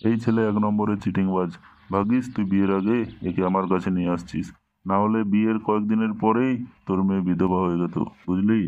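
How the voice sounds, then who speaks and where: A man's voice: deep voice, steady tone, fast, close to an everyday voice. A man voicing a domineering, scolding village tantric holy man in a Bengali comedy cartoon, with a preachy manner. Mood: stern, knowing. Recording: dull lo-fi, very dry cartoon dub.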